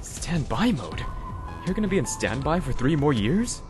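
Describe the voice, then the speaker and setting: An actor playing a baffled high school boy in an anime fan dub, grumbling and incredulous. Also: big pitch swings, moderate pace, music in the background, natural voice. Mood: puzzled, wry.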